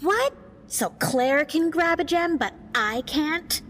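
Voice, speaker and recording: A woman playing an excitable, mischievous, greedy young adventurer in an audio drama, playful and cheeky, with reverb. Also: high-pitched, slow, slight background noise, full character voice. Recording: long reverb, music behind.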